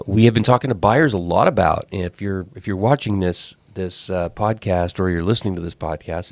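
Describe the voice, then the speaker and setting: A man with a low voice and a congested, clogged-up sound from a head cold hosts a podcast. He talks casually, rambles, and restarts his sentences often. The recording is dry, with no room sound. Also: darkish tone, dry recording. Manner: rambling, self-correcting. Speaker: podcast host. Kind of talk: casual talk.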